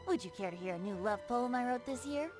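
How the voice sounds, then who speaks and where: Woman acting a high, whimsical young poet-bard. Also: expressive, brisk, over music, close to an everyday voice. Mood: genial. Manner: courteous, lyrical. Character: whimsical, gracious poet-bard, youthful, gently lyrical. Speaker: game character voice actor. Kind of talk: voiced game dialogue, poetry recital.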